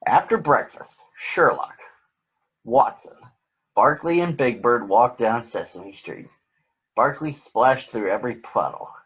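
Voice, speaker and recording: A man with a husky voice reads a story aloud for children. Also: mid-range voice, big pitch swings, takes it slowly, fairly bright tone, muffled recording. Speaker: storybook reader. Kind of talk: read-aloud narration for children.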